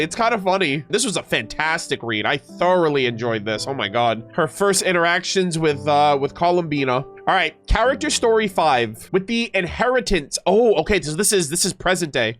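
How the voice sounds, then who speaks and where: A man with a notably high voice streams his reaction to game lore. He reads story passages aloud, then slips into chatty, animated commentary for his viewers. Faint guitar music plays behind him. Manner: chatty, animated asides between read passages. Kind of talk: reading story text aloud with off-the-cuff casual commentary.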